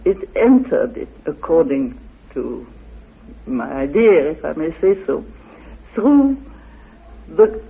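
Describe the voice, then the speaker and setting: A man being interviewed, explaining his ideas in a halting, deliberate manner, asking and answering his own questions and reciting quotations. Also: high voice, slightly rough. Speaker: interviewee expounding his theory. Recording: phone-like, muffled old radio recording.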